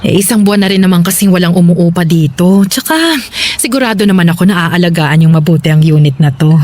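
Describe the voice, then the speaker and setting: A kindly Filipino auntie played by a woman in a radio drama, with a fairly low voice. She is a generous landlady, motherly and reassuring in how she speaks. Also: fast talker, a little mellow, close to an everyday voice. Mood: warm, accommodating. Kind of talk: Tagalog radio drama acting.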